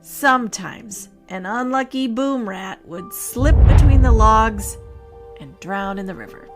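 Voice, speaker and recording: A woman podcast host telling a scripted ghost story in a theatrical, measured way with dramatic pauses. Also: on the high side. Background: music.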